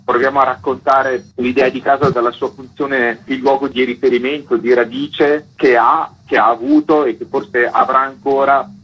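A man with a slightly husky voice, explaining his work as a theatre interview guest. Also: medium pitch, a bit bright. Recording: dull, muffled radio interview sound.

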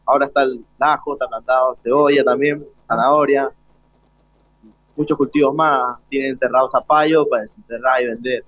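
A man's voice: mid-range voice, steady tone, fairly quick, dry recording, phone-quality sound. A young man with an Argentine accent chatting casually as a guest in a live interview. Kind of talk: casual chat.

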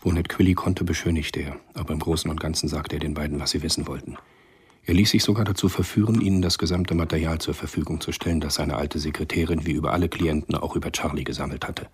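A man with a rough, husky voice narrating a German radio thriller from a script in a measured, matter-of-fact way. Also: extremely low pitch, fairly even intonation.

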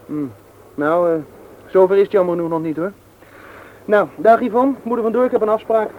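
A man's voice: high voice, fairly even intonation, brisk, darkish tone, natural voice. A young man playing a cheeky, stubborn teenage schoolboy in a radio drama, teasing and flippant. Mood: casual, guarded. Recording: dull, noisy old radio drama recording.

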